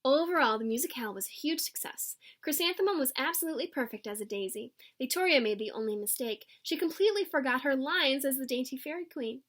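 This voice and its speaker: A woman's voice: high voice, lively intonation, fast talker, hardly any pauses, fairly bright tone. A woman reading a children's picture book aloud expressively, acting out the dialogue. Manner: acts out dialogue with varied voices. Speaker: storybook reader.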